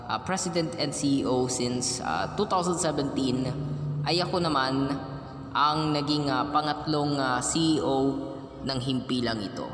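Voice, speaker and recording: A man with a Filipino accent giving a thank-you speech as a company chief, halting and hesitant with frequent fillers. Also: mid-range voice. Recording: echoey hall with music behind.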